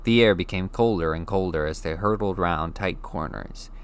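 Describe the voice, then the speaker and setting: A man reading a novel chapter aloud as an audiobook narrator, with steady, clear storytelling. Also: sits low, normal speed. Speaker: audiobook reader.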